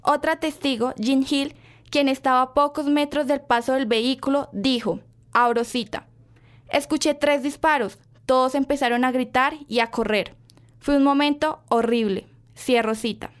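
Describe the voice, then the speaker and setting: A woman's voice: sits high, steady tone, moderate pace, natural voice. A woman playing a formal 1960s radio newsreader delivering an urgent breaking news bulletin in an audio drama. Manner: formal, announcing.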